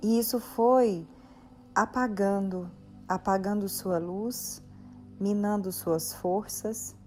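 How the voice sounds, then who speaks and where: Slow, bright-voiced Brazilian woman guiding meditation. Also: medium pitch, steady tone. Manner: soothing, gently instructing in short pause-separated phrases. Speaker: guided meditation leader. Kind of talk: guided meditation. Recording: soft background music. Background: soft music.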